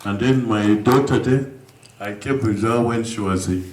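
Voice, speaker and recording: An older man with a low voice addresses a community hall, his speech earnest and halting, full of restarts. He sits well back from the microphone, so the room's echo hangs around every phrase. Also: darkish tone. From Uganda. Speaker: guest speaker. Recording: echoey room from far off.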